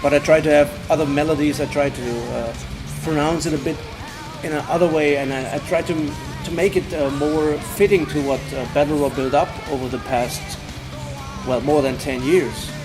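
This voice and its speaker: A man's voice: a little high, steady tone, moderate pace, over music. A man with a German accent, a band's singer, talking in a casual interview with many fillers and restarts. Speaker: band singer.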